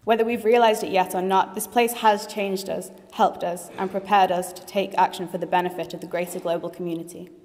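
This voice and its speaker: A woman's voice: on the low side, steady tone, fairly quick, hardly any pauses, a little mellow. A young woman in her late teens, a graduating student, giving a heartfelt formal graduation speech with a few light jokes in a large assembly hall. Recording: long reverb of a large hall.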